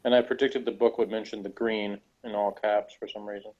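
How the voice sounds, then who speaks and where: A man's voice: fairly low pitch, little pitch movement, brisk, darkish tone. A man chatting casually as a podcast reviewer, with a dry, teasing manner. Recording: dull lo-fi voice recording.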